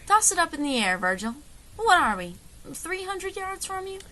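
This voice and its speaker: A woman playing a confident, folksy frontier leader in an audio drama, wry and commanding, with calm menace. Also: very high-pitched, moderate pace, crowd noise. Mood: cool, commanding.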